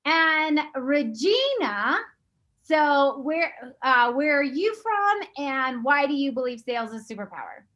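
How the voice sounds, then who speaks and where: A woman's voice: high-pitched, big pitch swings, slow, fairly bright tone, dull audio. A woman hosting a video call show, upbeat and gushing, with many fillers. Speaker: host. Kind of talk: casual video call chat.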